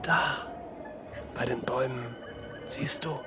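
An actor playing a sceptical, uneasy gentleman on an eerie night watch in a radio drama. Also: mid-range voice, huge pitch swings, slow. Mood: hushed, horrified. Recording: loud music behind the voice.

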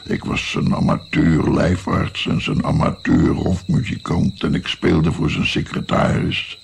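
An actor playing a weary, guilt-ridden grandfather of seventy-six in a radio drama, speaking in a brooding, pausing way with a gravelly voice. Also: rumbling low, steady tone, exaggerated voice. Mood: reminiscing. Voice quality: gravelly, creaky. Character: weary, guilt-ridden 76-year-old Italian-born grandfather. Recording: faint noise, night crickets. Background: chirping crickets.

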